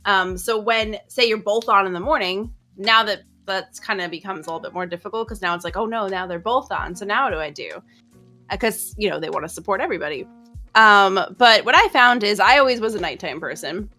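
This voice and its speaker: A woman's voice: medium pitch, fairly quick, sharp tone, faint music behind. Chatty woman giving streaming tips. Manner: chatty, earnest, many ums and likes. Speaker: streamer guest. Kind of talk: casual advice podcast chat.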